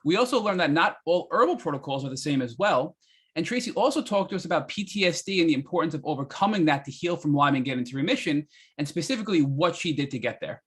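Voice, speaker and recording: An American man co-hosts a podcast with a prepared, summarizing delivery. He talks quickly in a husky voice that runs a little higher than average. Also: expressive, a bit bright. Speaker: podcast co-host.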